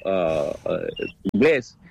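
An Argentine man with an Argentine Spanish accent, a guest talking casually over a video call, halting with many restarts and repeated words. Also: low voice, big pitch swings, gravelly, a little hiss or hum. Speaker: guest, food business owner in the US. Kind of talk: casual interview chat.

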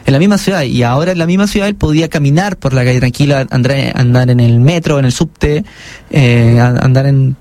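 A man's voice: medium pitch, brisk, a little mellow, no room sound. A man from Chile with a Chilean accent, an author being interviewed on the radio, talking thoughtfully with many restarts. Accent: Chilean Spanish. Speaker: author and guest.

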